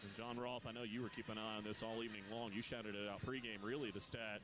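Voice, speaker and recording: A man's voice: on the low side, music in the background. A man calling a live college basketball game on radio, his voice climbing with each big play. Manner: rapid, rising excitement on big plays. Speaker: play-by-play announcer. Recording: broadcast with loud arena noise.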